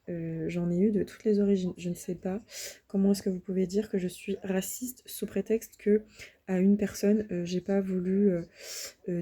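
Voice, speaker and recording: A woman in her thirties from France, recording a halting, upset personal appeal to viewers. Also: medium pitch, warm tone, slightly rough. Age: 30s. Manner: halting, many hesitations and restarts; upset, defensive. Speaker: vlogger. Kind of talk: personal video appeal to the public.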